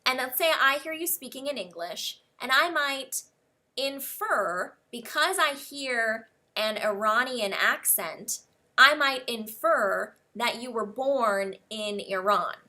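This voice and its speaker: An American woman teaching English one-on-one, explaining words slowly and spelling them out letter by letter. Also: a little high, animated, bright, forceful tone.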